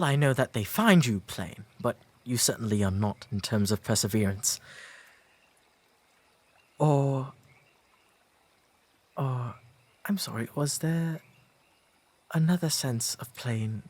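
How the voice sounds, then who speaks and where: A man playing an earnest, courteous nineteenth-century gentleman suitor in a romantic roleplay audio, with an English accent, speaking softly and formally with some nervous hesitation. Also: medium pitch, expressive. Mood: earnest, consoling. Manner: formal, halting, nervously sincere.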